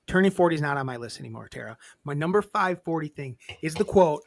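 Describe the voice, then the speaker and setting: A man's voice: fairly high pitch, fast talker, creaky. A man in his forties co-hosting a podcast in casual chat, joking and swearing freely. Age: 40s. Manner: joking, swears freely, lively shifts of level. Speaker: podcast co-host.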